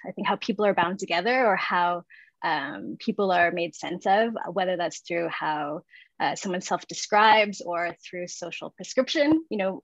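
A woman in her thirties speaking in a measured, reflective way on a video call from her living room, thinking aloud as she talks. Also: on the low side, no echo. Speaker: artist and guest speaker. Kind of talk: reflective conversation. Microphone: video call with earbuds. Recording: dull dry video call audio.

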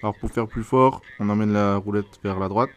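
A man's voice: fairly low pitch, fairly even intonation, a little fast, clean tone. A man giving an informal, hesitant, hands-on product review in casual slangy speech.

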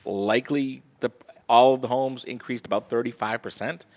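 A man's voice: medium pitch, steady tone, moderate pace, darkish tone. A man appearing as a real estate guest, giving confident, explanatory interview answers. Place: TV-style studio set.

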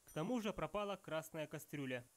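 A man with a fairly high voice delivers scripted narration. He picks at details in a matter-of-fact, sarcastic way. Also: animated, a little fast. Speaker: narrator of a film flaw-counting video. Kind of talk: scripted narration, nitpicking commentary.

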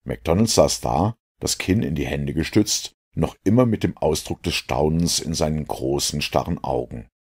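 A man narrating a detective novel as an audiobook reader, with a husky voice. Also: a bass voice, normal speed, hardly any pauses.

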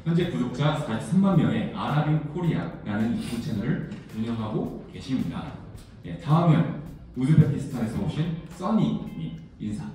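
Brisk man emceeing in an echoey hall. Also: medium pitch, big pitch swings, far from the mic, noisy background. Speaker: ceremony emcee. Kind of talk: formal event announcing.

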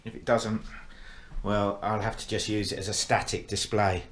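Guy, middle-aged, low flat voice, lots of uh's, talking thru his model build at home. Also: normal speed, slight background noise. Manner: hesitant, lots of uh fillers and restarts, plain explanatory. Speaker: model railway hobbyist. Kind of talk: casual show-and-tell progress update. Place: living room at home, indoors.

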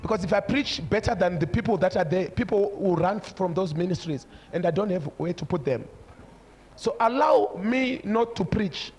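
A man's voice: high voice, lively intonation, moderate pace, darkish tone, slightly rough. A man in his forties with a Zimbabwean accent preaching a sermon, emphatic and repeating short phrases. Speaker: preacher, church overseer. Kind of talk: sermon switching between English and another language. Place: large church hall before a congregation. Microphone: handheld microphone.

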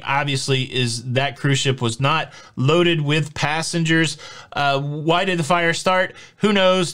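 A man's voice: a little high, fairly bright tone, smooth. A man in his forties hosting a casual news vlog from a home studio, talking in a chatty, upbeat, jokey way with plenty of fillers. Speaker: host. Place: home studio with shelves of collectibles.

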